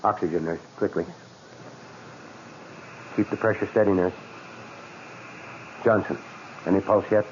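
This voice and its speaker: A man's voice: low-pitched, fairly even intonation, a little slow, long pauses. A man acting a tired, dedicated brain surgeon who is earnest and commanding, in a 1940s radio drama. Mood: urgent. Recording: muffled, noisy 1940s radio recording.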